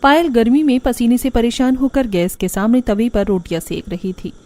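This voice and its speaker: A woman's voice: fairly high pitch, normal speed, a little mellow. A woman giving scripted narration for a cartoon family story.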